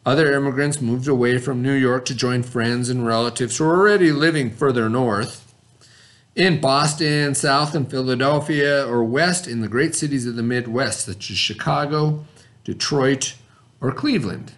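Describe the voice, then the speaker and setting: A man's voice: mid-range voice, normal speed, fairly bright tone. Middle-aged American male, mid-range voice, elementary teacher doing a classroom read-aloud, explanatory delivery. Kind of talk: read-aloud lesson with explanations to young pupils. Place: indoor school classroom.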